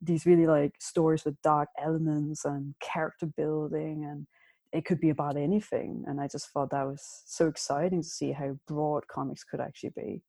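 A woman from Denmark, a comics artist, answering interview questions reflectively with ums and pauses. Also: deep voice, little pitch movement, warm tone, no echo. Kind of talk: casual interview.

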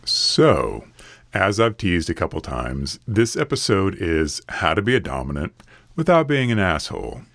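A man hosting a solo podcast, his voice a bit raspy from a recent illness, talking in an earnest, steady, advice-giving way. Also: low-pitched, a little slow, no room sound, studio quality. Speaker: podcast host. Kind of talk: casual solo podcast monologue.

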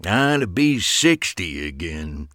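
A man's voice: deep voice, sing-song, slow, fairly bright tone, dry recording, clean studio sound, full character voice. A man voicing a grumpy elderly male magician who grumbles. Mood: grumbling.

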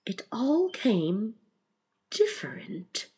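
Storybook Mock Turtle played by a woman: male creature, weary, plaintive, touchy, dragging words with big pitch swings. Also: sing-song, unhurried, fairly bright tone. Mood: thoughtful. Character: mournful, easily offended male Mock Turtle, slow and weary. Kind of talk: storybook character reading.

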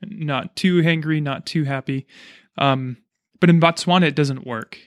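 A man's voice: a little high, a little slow, no echo, studio quality. A man hosting a casual interview, chatting and explaining things as he goes. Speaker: podcast host, chiropractor.

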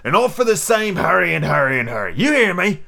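A man's voice: sits high, big pitch swings, brisk, creaky, bright, forceful tone, pitched above the performer's own voice, exaggerated voice. A man reading an audiobook, voicing a cunning, boastful, domineering pirate cook with emphatic rustic sailor talk. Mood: fierce, emphatic. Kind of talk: audiobook character acting.

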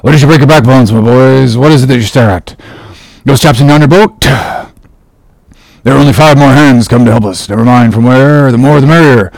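A man's voice: mid-range voice, fairly quick, vocal fry, darkish tone, dry recording, lightly acted, rough recording. Guy doing a joking boat officer, drawly, half soothing half mock-furious at his rowers. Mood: soothing, coaxing. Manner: drawling, soothing, mixing fun and fury. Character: jocular whaling mate coaxing and scolding his oarsmen.

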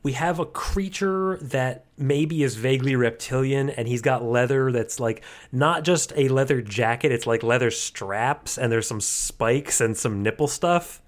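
A man's voice: medium pitch, measured pace, hardly any pauses. A man co-hosting a podcast in casual chat, riffing on a cartoon with a wry, loose manner as he searches for words. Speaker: podcast co-host.

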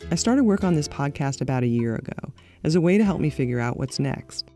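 A woman's voice: low voice, animated, mellow, faint music behind. Reflective middle-aged woman narrating. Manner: reflective, measured and candid. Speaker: podcast host. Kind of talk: scripted personal narration.

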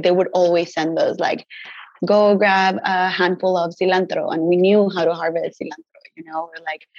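A woman's voice: on the low side, darkish tone, dry recording, lo-fi sound. A young woman from Mexico, a native Spanish speaker, chatting casually as an interview guest with many "like" fillers and easy laughs. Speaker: guest herbalist.

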